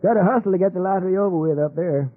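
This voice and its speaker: A man's voice: high-pitched, rapid-fire. An actor playing a chatty small-town man with folksy speech in an old-time radio drama. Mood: neighborly, chatty. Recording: tinny old radio broadcast.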